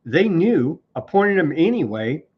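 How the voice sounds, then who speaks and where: A man's voice: on the high side, sing-song, a little slow, husky, highs cut off. A man hosting a live online news commentary show, sarcastic and scornful as he rants through the headlines. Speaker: political commentary host.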